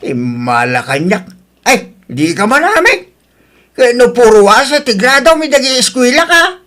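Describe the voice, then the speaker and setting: A crusty old Ilocano grandfather, with a high-pitched, piercing voice. He grumbles and questions in radio-drama style. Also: very animated, unhurried, sharp tone, exaggerated voice. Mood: grumbling.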